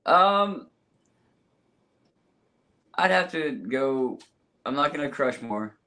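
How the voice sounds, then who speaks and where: A man's voice: a little high, expressive, takes it slowly, sharp tone. A man appearing as a podcast guest on a video call, chatting casually in a hesitant, hedging way. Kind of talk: casual chat.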